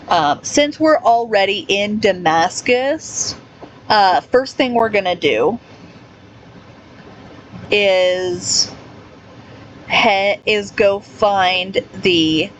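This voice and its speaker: A woman giving casual gameplay commentary, reading game menus aloud in a halting way with fillers and restarts. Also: a little high, fairly bright tone, a little hiss or hum, poor audio. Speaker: gaming YouTuber.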